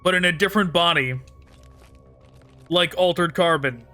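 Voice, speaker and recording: A man's voice: fairly high pitch, moderate pace, bright, forceful tone, faint music behind. A man giving casual, informal let's play commentary with amused musing as he plays. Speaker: gaming streamer.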